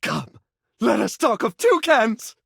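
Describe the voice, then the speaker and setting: A woman voicing a boisterous, thrill-seeking daredevil in a video game, with bombastic, rough-edged hype. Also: a little high, very animated, no room sound, clean studio sound, full character voice. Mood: rowdy hype. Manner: bombastic, shouted, drawn-out hype.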